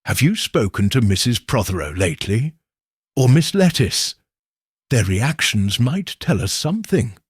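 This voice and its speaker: A man voicing a shrewd elderly village spinster, speaking in a gentle, probing, knowing way. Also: medium pitch, very animated, unhurried, breathy edge, dry recording, studio quality. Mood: shrewd musing.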